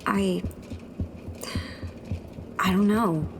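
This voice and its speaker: A woman playing a caring, thoughtful young rebel tinkerer in a sci-fi radio drama, hesitant and often hushed. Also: mid-range voice, steady tone, very slow, music in the background. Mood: thoughtful, uneasy.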